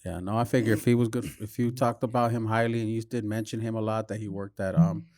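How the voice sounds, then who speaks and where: A man's voice: fairly low pitch, steady tone, quick pace, a little mellow, no room sound, clean studio sound. A man hosting a casual podcast, chatting informally and encouragingly. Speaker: podcast host and tattoo studio owner.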